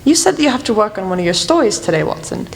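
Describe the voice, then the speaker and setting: A woman playing a composed, courteous detective in a radio play, speaking in formal, measured phrases. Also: mid-range voice, sing-song, vocal fry. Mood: musing. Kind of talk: amateur radio play acting. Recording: echoey hall, faint music.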